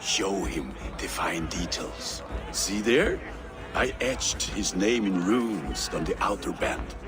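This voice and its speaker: A man's voice: deep voice, lively intonation, slow. An actor playing a fond Viking craftsman who patiently explains his work to a child. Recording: music behind.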